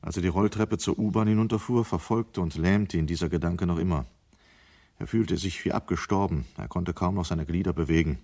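A man's voice: low-pitched, brisk, warm tone. A man narrating a radio drama in a scripted, literary storytelling style.

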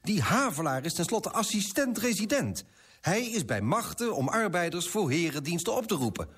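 A smug, stuffy merchant in a radio play. His voice sits fairly high for a man and swings widely in pitch, with a rough husk to it. He talks down to people, pompous and sceptical. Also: big pitch swings, normal speed, a bit bright, heavily acted. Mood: smugly dismissive. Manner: pompous, condescending. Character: Droogstoppel, smug, dismissive, stuffy Dutch merchant, self-satisfied and sceptical. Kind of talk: radio drama acting.

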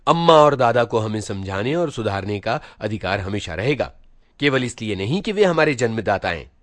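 Man acting a stern, preachy elder brother, animated. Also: mid-range voice, dry recording, natural voice. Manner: scolding, emphatic, moralizing with rhetorical questions. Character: stern, preachy elder brother, a schoolboy lecturing his junior.

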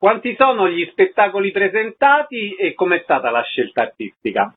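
A man's voice: sits high, sing-song, moderate pace, breathy edge. A man hosting a radio interview, presenting guests and asking questions. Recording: slightly dull, lo-fi radio sound.

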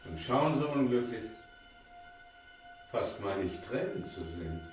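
An actor playing a sinister, courteous lodger with a formal, softly probing manner in a radio drama. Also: male voice, on the low side, lively intonation, a little slow, recorded from a distance. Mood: quietly probing. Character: sinister, courteous male lodger, secretly a murderer. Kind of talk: German radio drama acting. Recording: roomy, off-mic, faint music behind.

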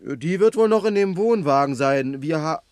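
An actor plays a cowardly male crook in a radio crime satire. The voice is high and dry, stammering and wheedling as he grovels. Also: expressive, moderate pace, a little mellow, no echo, natural voice. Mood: cowed, pleading. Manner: hesitant, stammering, obsequious. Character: cowardly, wheedling male small-time gangster.

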